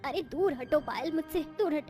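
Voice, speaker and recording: A woman plays a bullying woman of a village household in a cartoon tale. Her voice is extremely high and she scolds in sharp, scornful commands. Soft music runs underneath. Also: normal speed, lightly acted. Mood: alarmed, angry. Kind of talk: cartoon moral story acting.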